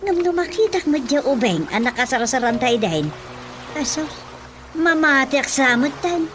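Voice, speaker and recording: A woman's voice: sits high, animated, normal speed, music in the background. Chatty superstitious Ilocano village mother. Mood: earnest storytelling. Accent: Filipino, Ilocano. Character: chatty superstitious village mother who believes in folk healing. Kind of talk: radio drama acting.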